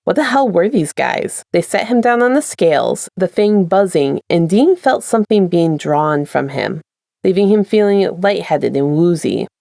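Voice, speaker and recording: Low-voiced woman narrating, very dry sound. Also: sing-song, moderate pace, vocal fry, dark tone, no room sound. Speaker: podfic creator and narrator. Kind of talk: casual intro and scripted story narration.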